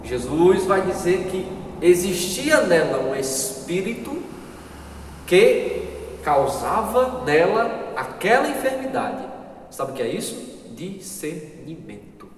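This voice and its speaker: A Brazilian man leads a church Bible class, earnest and repetitive, often asking his listeners questions. His voice sits a little high for a man. It is picked up from a distance in a long, echoing hall. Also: big pitch swings, slow pace, slight background noise. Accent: Brazilian Portuguese. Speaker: Sunday school teacher. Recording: echoey hall, distant mic, faint ambience.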